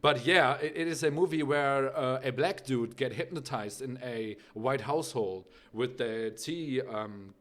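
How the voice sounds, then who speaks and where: A man's voice: medium pitch, measured pace, hardly any pauses, a bit bright. A young man with a German accent, speaking English as a second language, hosting a casual music reaction video. He rambles with many fillers and keeps asking "right?".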